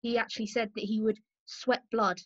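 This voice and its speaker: A woman's voice: fairly high pitch, little pitch movement, normal speed. A British woman hosting a political podcast discussion, recorded at home. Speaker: podcast host. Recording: muffled, roomy home recording.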